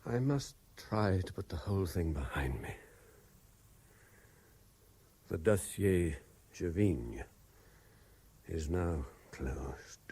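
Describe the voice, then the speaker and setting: An actor playing a troubled, guilt-ridden investigator in a 1970s radio drama, with a brooding, hesitant manner. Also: male voice, low voice, expressive, slow pace, dark tone, breathy edge, full character voice. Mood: brooding, grieving. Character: troubled, haunted male French private investigator, guilt-ridden and weary. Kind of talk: 1970s radio drama acting, with narrated diary passages.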